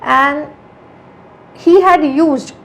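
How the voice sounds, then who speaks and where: High-voiced woman explaining earnestly. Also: very slow. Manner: earnest, thinks aloud with frequent restarts. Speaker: art conservator, podcast guest. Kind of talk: casual interview conversation.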